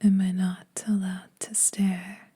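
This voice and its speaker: A woman voicing a teasing, predatory yet protective female vampire in a breathy whispered ASMR roleplay, speaking slowly and a little formally. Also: medium pitch, level tone, warm tone. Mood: dry teasing. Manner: slow, deliberate, faintly formal teasing.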